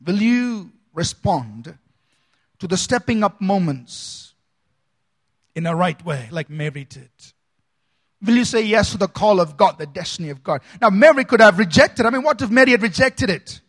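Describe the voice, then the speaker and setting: A man from India, a pastor preaching a sermon with dramatic swings between loud emphasis and soft asides. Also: high voice, expressive, moderate pace.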